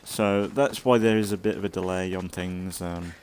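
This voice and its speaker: A man giving casual, rambling commentary as a let's play host, thinking aloud in a halting way and sounding slightly congested. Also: low-pitched, fairly even intonation, darkish tone, smooth. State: slightly congested from an oncoming cold.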